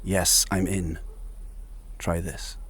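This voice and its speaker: A man playing an ancient, proud and solemn warrior in a dark fantasy audio drama, speaking formally and gravely. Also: flat delivery, drawn-out words, exaggerated voice. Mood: proud, dry. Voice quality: husky, very deep.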